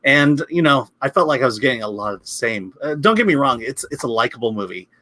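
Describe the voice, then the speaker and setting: A man with a bright, forward voice of average pitch, recorded dry and close. He talks through a film review in a casual, halting way, often doubling back on his words. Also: big pitch swings, dry recording. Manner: hesitant, halting, repeats words. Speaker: film critic co-hosting a movie review.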